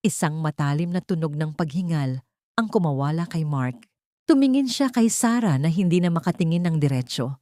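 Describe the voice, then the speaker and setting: A woman reading a dramatic audiobook story, voicing every character herself in one steady storytelling voice. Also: deep voice, no room sound, clean studio sound. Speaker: audiobook narrator. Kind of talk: scripted dramatic narration.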